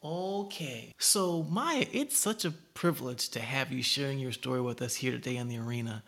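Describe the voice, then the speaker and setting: A man hosting a podcast interview, upbeat and effusive, with the host's warm enthusiasm for his guest. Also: medium pitch, lively intonation, normal speed, gravelly. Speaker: podcast host.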